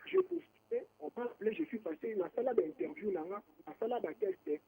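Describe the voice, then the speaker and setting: A man's voice: very high voice, huge pitch swings, a little fast, gravelly, mellow, breathy edge. A man born in Morocco, calling in to a radio show and talking in a rambling, casual way, mixing in Lingala. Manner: rambling, restarts often, mixes French and Lingala. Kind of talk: casual phone-in chat. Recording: phone line on the radio.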